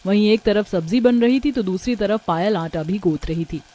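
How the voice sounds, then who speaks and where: A woman's voice: medium pitch, moderate pace, dark tone. A woman narrating a scripted moral story as a storyteller.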